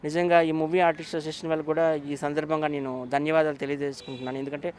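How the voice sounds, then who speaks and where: A man's voice: fairly high pitch, little pitch movement, rapid-fire, a little mellow, smooth. A man speaking as an interview guest, giving his opinions emphatically and often repeating phrases. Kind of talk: animated opinionated interview talk.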